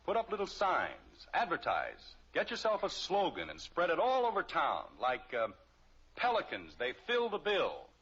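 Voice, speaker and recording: Exasperated American sitcom dad, dry sarcasm, very animated pitch, dull noisy old radio recording. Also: male voice, normal speed, breathy edge, full character voice. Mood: encouraging, brisk. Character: exasperated, wisecracking father of a suburban family. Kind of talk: mid-century American radio sitcom acting.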